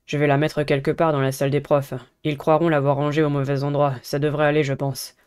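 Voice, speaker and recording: Give this visual novel schoolboy a lowered, mellow voice performed by a woman. He is a serious, protective student delegate who speaks in a measured and earnest manner. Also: little pitch movement, clean tone, close to an everyday voice. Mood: relieved. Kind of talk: visual novel character reading.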